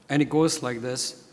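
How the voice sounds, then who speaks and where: Church elder, male, preaching a sermon; slow and measured delivery, reverberant sanctuary. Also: medium pitch, a little mellow. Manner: slow, measured, with frequent hesitations. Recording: long hall reverb.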